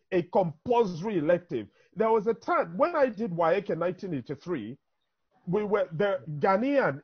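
A man's voice: high voice, slightly rough. A Nigerian man in his fifties with a Nigerian accent, speaking emphatically as a panelist in an online town hall, driving points home by repetition over a video call. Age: 50s. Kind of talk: impassioned speech in an online town hall discussion. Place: indoor room, on a video call. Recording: dull, lo-fi video call audio.

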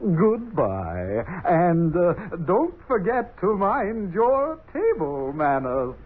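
An actor playing a bumbling, apologetic soup spoon who hesitates and muddles words, in 1940s children's radio style. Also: male voice, high-pitched, wildly swooping pitch, slow, husky, full character voice. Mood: apologetic, polite. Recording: scratchy, narrowband 1940s radio recording.

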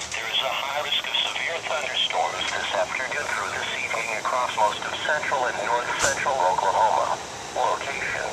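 Man's text-to-speech voice, mid pitch, automated weather bulletin, formal, impersonal, radio sound, loud noise throughout. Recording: noisy weather radio, captured by camera. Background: loud steady noise.

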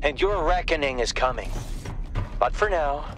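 A man's voice: fairly high pitch, lively intonation, normal speed, background noise, close to an everyday voice. An actor playing an arrogant, cruel male tech boss villain in a video game, contemptuous and threatening. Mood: coldly threatening. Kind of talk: video game cutscene acting.

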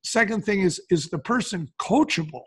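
A man's voice: high voice, lively intonation, moderate pace, breathy edge. An older man speaking conversationally as a business advisor in an interview, explaining things point by point with colloquial asides. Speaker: business advisor and podcast guest.

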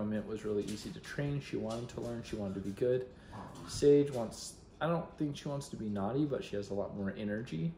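A man's voice: on the low side, roomy sound, a little hiss or hum. A young man rambling casually in a daily vlog, talking to a phone held at arm's length at home. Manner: relaxed, rambling, talks to camera. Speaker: vlogger. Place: car, then home kitchen and living room. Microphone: handheld phone at arm's length.

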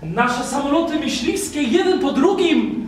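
An actor playing a tutor to a young prince, declaiming in theatrical children's stage style. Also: male voice, very high-pitched, expressive, unhurried. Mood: declamatory. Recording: echoey stage hall, distant, faint noise.

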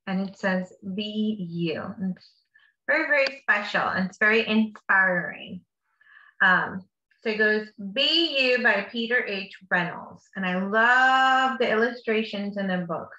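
A woman's voice: mid-range voice, sharp tone, muffled recording. A woman reading a picture book aloud to children like a teacher, with encouraging, simply worded asides in between. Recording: echoey room.

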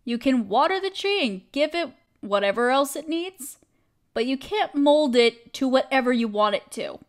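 A woman acts out a protective, caring female best friend with a high voice. She speaks directly and intimately to one listener, as in a comfort roleplay. Her delivery is earnest and reassuring. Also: lively intonation, moderate pace. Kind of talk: audio roleplay, scripted comforting monologue.

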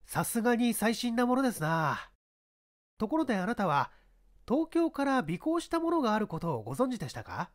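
A man's voice: high voice, big pitch swings, brisk, pitched above the performer's own voice. A man playing a courteous, inquisitive police inspector in a mystery story reading. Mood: politely probing. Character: polite, probing male police inspector. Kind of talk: radio-drama style mystery reading.

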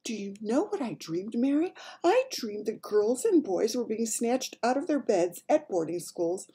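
Lady doing a posh older queen from a storybook, grand n exclamatory, high and really animated. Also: a little slow, husky, exaggerated voice. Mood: distressed, vivid. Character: regal older Queen, proper, shocked and imperious.

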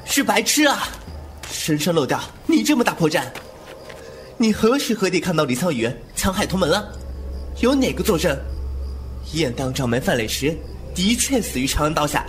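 A young man playing a glib, sharp-tongued, teasing martial-arts rogue in a wuxia audio drama, quick to mock. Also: on the high side, very animated. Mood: exasperated. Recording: music under the voice.

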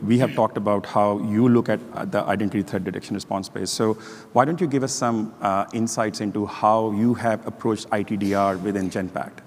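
A man moderating a conference panel, asking casual questions. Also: on the low side. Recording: reverberant hall.

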